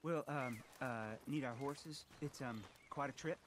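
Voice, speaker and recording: A man's voice: medium pitch, slight background noise, close to an everyday voice. A man playing a nervous, pleading frontier debtor with an American accent and a hesitant manner in a video game. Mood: nervous, defensive. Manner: hesitant, placating, halting. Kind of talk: dramatic video game acting.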